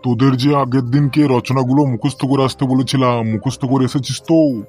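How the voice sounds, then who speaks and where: A man's voice: mid-range voice, fairly even intonation, normal speed, darkish tone, soft background music, lightly acted. A man voicing an exasperated, threatening male schoolteacher in a comic cartoon, scolding and sarcastic, with a Bengali accent. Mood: scolding, suspicious.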